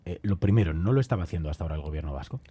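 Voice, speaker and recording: A man's voice: low voice, expressive, rapid-fire, dark tone, breathy edge. A man hosting a radio interview, asking probing questions with hesitant fillers. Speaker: radio host. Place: radio studio. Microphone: studio microphone.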